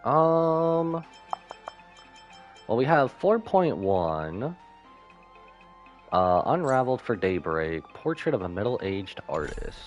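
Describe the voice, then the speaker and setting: A man giving casual live commentary as a game streamer, rambling and animated, with jokey asides. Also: medium pitch, slow pace, long pauses, dull audio, soft background music.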